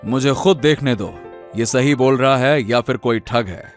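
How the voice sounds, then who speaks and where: A man playing a stern, suspicious middle-aged landlord patriarch in a cartoon moral story, gruff and commanding. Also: medium pitch, unhurried, faint music behind, lightly acted.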